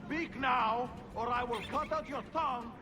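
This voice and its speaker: An actor playing a bitter, menacing assassin villain in a video game, with a theatrical, taunting delivery. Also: male voice, extremely high pitch, unhurried, background noise. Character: bitter, scornful male traitor assassin, eloquent and menacing. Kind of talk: video game cutscene acting.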